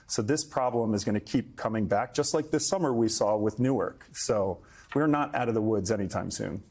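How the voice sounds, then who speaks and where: A man's voice: fairly low pitch, normal speed, a little mellow, slightly rough. A man giving conversational commentary as an expert guest on a news program.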